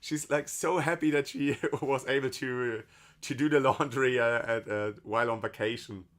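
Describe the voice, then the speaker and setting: A hoarse man casually commenting while playing a game on stream, rambling loosely and swearing now and then. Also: mid-range voice, fairly even intonation, moderate pace, fairly bright tone. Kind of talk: casual let's-play commentary.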